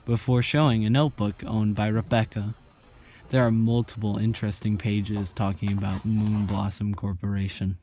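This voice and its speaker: A man hosting his own online video channel, explaining horror videos in a relaxed, jokey way and reading on-screen text aloud. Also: medium pitch. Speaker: YouTube host. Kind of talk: casual video commentary.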